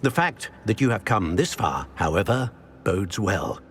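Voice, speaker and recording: An older actor playing a solemn ancient wizard in a video game, speaking in a formal, measured, grave manner. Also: male voice, lowish voice, lively intonation, a little hiss or hum. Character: solemn ancient wizard, keeper of secret magical knowledge. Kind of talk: video game cutscene acting. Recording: music behind.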